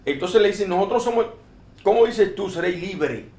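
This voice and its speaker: A man reading Bible verses aloud and explaining them in an informal discussion, often halting and repeating words. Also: high-pitched, expressive, darkish tone. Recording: echoey room.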